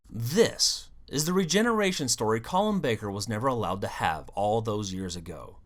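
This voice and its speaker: A video host delivering scripted fan commentary in an animated, storytelling way with wry asides. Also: medium pitch. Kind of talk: scripted fan commentary on an audio drama series.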